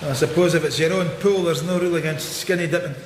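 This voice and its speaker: A man's voice: a little high, steady tone, quick pace, lightly acted. Wry Scottish detective played by a man, noisy backdrop. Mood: sardonic, probing. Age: middle-aged. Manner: dry, sardonic. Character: wry, world-weary male Scottish police detective. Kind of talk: radio drama acting. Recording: noticeable background noise.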